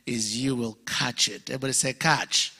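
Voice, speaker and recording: A man from Uganda with a Ugandan English accent, preaching as a guest in church, jovial and self-deprecating, rising to loud exclamations. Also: mid-range voice, steady tone, normal speed, slight background noise. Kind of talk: sermon. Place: church sanctuary.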